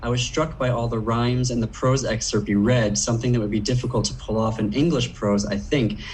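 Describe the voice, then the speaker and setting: A man's voice: medium pitch, fairly even intonation, a little fast, a little mellow, a little hiss or hum, poor audio. Male moderator, mid voice, plain reader, video call. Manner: plain, reads questions aloud. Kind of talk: reading audience questions.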